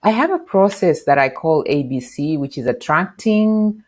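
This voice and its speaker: A middle-aged woman from Kenya, a podcast guest, explaining marketing in an animated interview on a lapel mic in a home office. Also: sits low, normal speed. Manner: animated, gestures while explaining. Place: bright home office with bookshelf.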